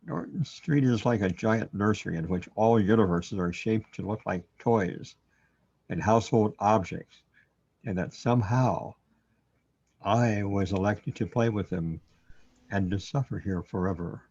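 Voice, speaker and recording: Troubled, self-doubting man played by a male actor, brooding and hesitant, husky delivery, muffled recording, surreal radio drama. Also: on the low side, measured pace, a little mellow, full character voice. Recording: dull, lo-fi audio.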